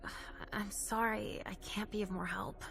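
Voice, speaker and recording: An actress playing a hesitant vampire seer in a video game cutscene, tentative and obedient. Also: female voice, mid-range voice, steady tone, moderate pace, creaky, natural voice. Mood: uncertain. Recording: faint music behind.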